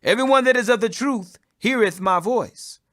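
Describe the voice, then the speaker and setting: Guy doing a resolute bible teacher facing accusers, high bright voice, firm n declamatory. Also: moderate pace, no room sound, clean studio sound. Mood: solemn, declaring.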